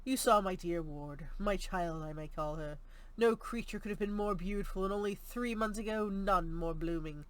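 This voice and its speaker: A woman voicing a grim, bitter old general in an audiobook, gruff, testy and direct. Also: mid-range voice, moderate pace, natural voice. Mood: grieving, vengeful. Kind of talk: audiobook character reading.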